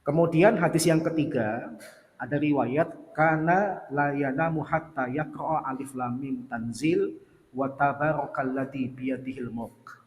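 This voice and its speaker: A man preaching a study lecture in a mosque, speaking with a sore throat in a steady teaching tone and reciting Arabic prayers and sayings. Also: mid-range voice, unhurried. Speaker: Islamic preacher. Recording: distant voice with long hall reverb and faint noise.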